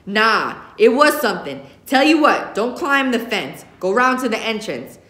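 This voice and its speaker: A woman voicing an angry father scolding his teenage kids in a forceful, commanding way, in an audiobook-style story reading. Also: on the high side, sing-song, a little slow, voice pushed up. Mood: stern, scolding. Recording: echoey classroom.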